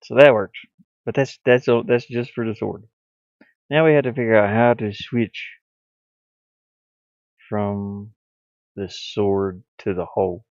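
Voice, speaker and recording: A man thinking aloud in a halting mutter as he gives casual commentary on his hobby game development. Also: fairly low pitch, fairly even intonation, no room sound. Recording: dull lo-fi audio, faint music. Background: faint music.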